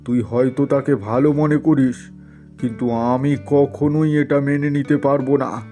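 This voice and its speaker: Cartoon landlord father, middle-aged, played by a man: stern, commanding, dark-toned, measured pace. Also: medium pitch, steady tone, soft background music, natural voice. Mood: angry. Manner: indignant, commanding. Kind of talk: cartoon story voice acting.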